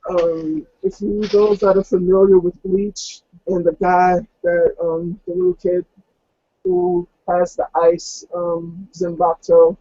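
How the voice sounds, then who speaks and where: A tabletop roleplaying player talking casually about the game in a hesitant, halting way. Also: mid-range voice, little pitch movement, warm tone, no echo. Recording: dull, close lo-fi recording.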